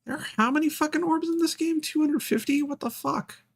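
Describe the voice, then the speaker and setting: A man's voice: very high voice, fairly quick, creaky. A man doing casual live game commentary, thinking aloud and muttering to himself with the odd mild swear. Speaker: video game live streamer.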